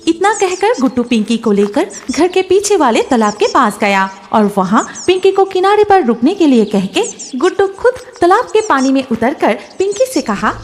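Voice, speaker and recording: A woman's voice: high voice, very animated, moderate pace, few pauses, soft background music. A woman narrating a scripted story as the storyteller.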